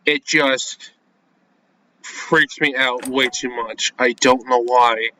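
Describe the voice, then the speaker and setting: A man's voice: mid-range voice, fairly even intonation, slow, sharp tone, slight background noise, low-quality recording. A young man playing a game on video, reading its text aloud and reacting in casual, jumpy slang as he talks to himself. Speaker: gaming video creator.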